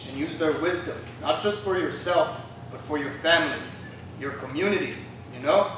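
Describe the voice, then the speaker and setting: A man's voice: a little high, a bit bright. A man from a theater team speaking live to a room at a community event. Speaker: member of a youth theater team. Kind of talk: live stage speech. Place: indoor live event room, distant mic. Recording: echoey room from far off, noisy.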